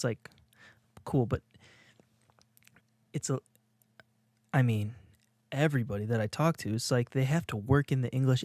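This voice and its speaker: A young man talks off the cuff on a podcast, mocking himself and restarting his sentences as he goes. His voice sits in an ordinary middle pitch. The recording is dry and close, with no room sound. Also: mellow, dry recording. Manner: rambling, self-mocking, many restarts. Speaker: podcast co-host. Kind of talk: casual comedic monologue.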